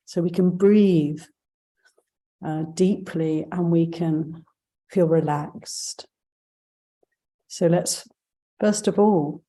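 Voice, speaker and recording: Woman meditation teacher, soft mellow relaxed tone, really slow and gentle, lots of pauses. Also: on the low side. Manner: gentle, unhurried instruction with pauses. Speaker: meditation teacher leading a monastery session. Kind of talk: guided meditation.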